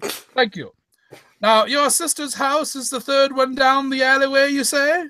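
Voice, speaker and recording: A man playing a flustered, stammering gentleman doctor. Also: extremely high pitch, fairly even intonation, measured pace, no room sound, heavily acted. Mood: polite, jolly. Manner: stammering, flustered, muttering exclamations. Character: bumbling, polite gentleman doctor dressed as Santa. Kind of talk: hammy old-time radio parody.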